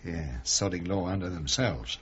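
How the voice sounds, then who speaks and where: An actor playing a gruff, blunt, middle-aged chief inspector in a radio crime drama, weary and disgruntled, clipped in his speech. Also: sits low, expressive, normal speed, a little hiss or hum. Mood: irritated. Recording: muffled 1980s radio drama recording.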